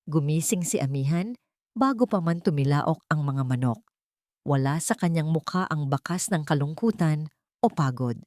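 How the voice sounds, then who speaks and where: Female Filipino storyteller, low voice, dry close recording, dramatic scripted romance read. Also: warm tone, no room sound. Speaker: story narrator.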